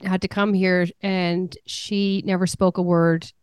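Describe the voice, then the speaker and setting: A woman's voice: lowish voice, level tone, a little slow, clear, resonant tone, dry recording, clean studio sound. A woman business coach answering interview questions in an earnest, conversational way, often repeating a word as she starts a thought.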